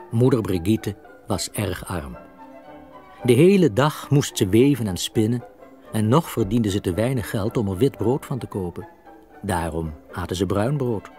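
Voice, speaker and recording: An actor as the narrator of a children's radio play, a man telling the story in a husky voice. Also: on the low side, darkish tone, close to an everyday voice.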